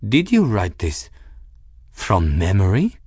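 A man's voice: fairly low pitch, wildly swooping pitch, takes it slowly, a little mellow, slightly rough, no echo, studio quality, heavily acted. A man voicing a deferential military officer in an audiobook, formal, polite and somewhat hesitant. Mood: cautious, questioning. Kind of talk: audiobook character acting.

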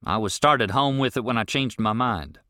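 A man's voice: medium pitch, normal speed, pushed and bright, dry recording, clean studio sound, lightly acted. A man voicing a laconic teenage ranch boy who answers tersely in a drawl.

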